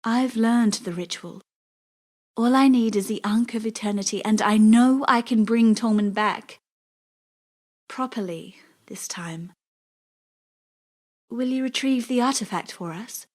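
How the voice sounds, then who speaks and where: An actress voices a lovelorn young woman from a dark fantasy game. Her voice sits at a medium pitch and turns hushed and halting as she speaks with earnest, obsessive devotion. Grief and pleading run through her dramatic delivery. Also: natural voice. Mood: earnest, urgent. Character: grieving, obsessively devoted young woman seeking resurrection of lover.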